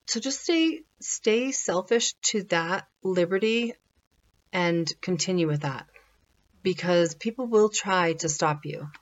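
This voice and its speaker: A woman giving informal motivational advice in a conversational, halting way, repeating phrases for emphasis. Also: lowish voice, lively intonation, dull audio. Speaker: motivational spiritual speaker.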